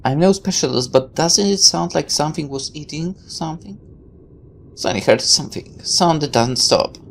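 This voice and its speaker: A woman's voice: deep voice, moderate pace, vocal fry, slight background noise. A woman playing a visual novel, reading the text aloud and dropping irreverent, sarcastic asides. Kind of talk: game playthrough, reading text aloud with offhand commentary.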